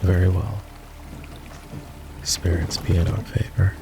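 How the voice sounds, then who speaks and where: Man playing a deep, gravelly, sardonic knight. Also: monotone, takes it slowly, over music. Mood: wary, instructing. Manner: sardonic, teasing, commanding. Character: gruff, sardonic fantasy knight, grudgingly escorting a princess. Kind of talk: roleplay ASMR voice acting.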